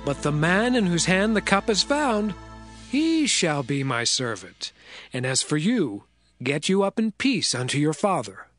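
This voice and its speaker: A man's voice: fairly high pitch, very animated, a little slow, fairly bright tone, faint music behind. A man acting a commanding male governor in a dramatized scripture reading, with formal archaic speech. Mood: firm.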